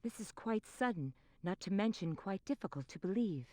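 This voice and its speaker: An actress playing a stately, commanding fantasy queen in a video game, speaking in formal, measured phrases. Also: medium pitch, expressive, darkish tone, dry recording, lightly acted. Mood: measured, grave. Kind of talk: scripted video game voice acting.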